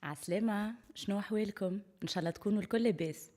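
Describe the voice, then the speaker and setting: Brief: woman in her thirties, Tunisian accent, rapid and bright self-introduction, middle pitch. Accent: Tunisian Arabic. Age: 30s. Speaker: actress introducing herself in a clip. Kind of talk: cheerful self-introduction to camera.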